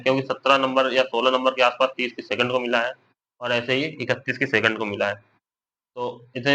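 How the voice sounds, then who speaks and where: A man's voice: mid-range voice, sharp tone. A young man, slightly unwell, gives an informal explanatory update to viewers, repeating his points plainly with colloquial asides. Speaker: exam news vlogger. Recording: dull lo-fi audio, faint background noise.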